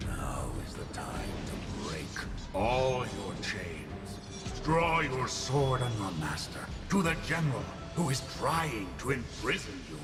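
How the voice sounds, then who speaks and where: An actor voicing a menacing old swordmaster in a video game, theatrical and taunting, with swells into force. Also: male voice, deep voice, exaggerated voice. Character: menacing old male swordmaster goading his young pupil. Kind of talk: dramatic video game voice acting. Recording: loud music under the voice.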